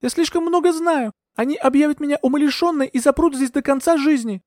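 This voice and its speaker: Frantic young heroine voiced by a man, high and desperate, for a dry audiobook. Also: a little fast, darkish tone, no echo. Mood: pleading. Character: young female heroine, frightened, defiant.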